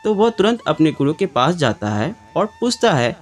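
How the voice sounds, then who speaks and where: A man's voice: a little high, sing-song, normal speed. A man narrating a scripted moral story as a storyteller. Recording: background music under the voice. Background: music.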